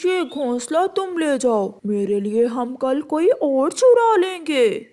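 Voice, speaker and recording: A woman's voice: sits high, very animated, takes it slowly, dark tone, heavily acted. A woman voicing a sly, cocky young vagrant owl in a cartoon story, coaxing and boastful.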